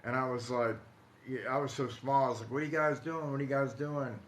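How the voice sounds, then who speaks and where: An American man in his forties talks casually to live viewers in a roomy, echoing space with faint noise behind him. He tells stories in a halting, conversational way, often doubling back on his words. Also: mid-range voice, steady tone. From Ohio, United States. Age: 40s. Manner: halting, repeats himself, conversational. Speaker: host of a paranormal storytelling live stream. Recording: roomy echo, light background noise.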